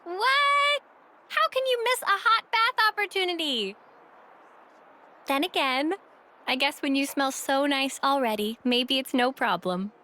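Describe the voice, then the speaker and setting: A woman playing a breezy, teasing, outgoing young woman in a video game, with a playful, casual and expressive delivery. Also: high voice, unhurried, exaggerated voice. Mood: cheerful, playful. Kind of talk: video game cutscene acting.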